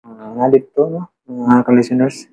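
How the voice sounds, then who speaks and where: A man's voice: medium pitch, normal speed, gravelly, mellow, rough recording. A man hosting informally, reading out comments in a casual chat full of tag questions. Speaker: channel host.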